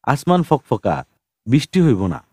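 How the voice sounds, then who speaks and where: A man's voice: medium pitch, big pitch swings, a little slow, darkish tone, husky, no echo, studio quality. A man voicing a huge, naively earnest street hustler in an audiobook, speaking colloquial rustic dialect in a respectful, pleading way.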